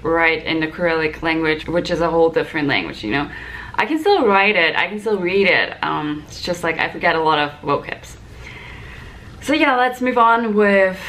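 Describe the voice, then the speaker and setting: A woman's voice: lowish voice, fairly bright tone, rough recording. A woman in her twenties, a native German speaker, chatting casually and explaining things to camera in a question-and-answer vlog. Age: 20s. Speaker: vlogger, flight attendant. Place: small hotel room, indoors. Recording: clear background room noise.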